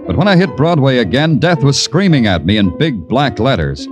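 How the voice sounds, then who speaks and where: An actor playing a wry, world-weary American police detective in an old radio crime drama, deadpan and sardonic. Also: male voice, mid-range voice, moderate pace, music in the background, natural voice. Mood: grim narration. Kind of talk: 1940s-50s radio crime drama acting and narration.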